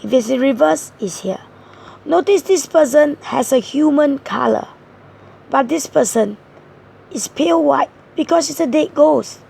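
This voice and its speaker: A hoarse woman giving a tarot card reading, explaining each card point by point in an earnest way. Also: high-pitched, expressive, measured pace, warm tone. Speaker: tarot reader.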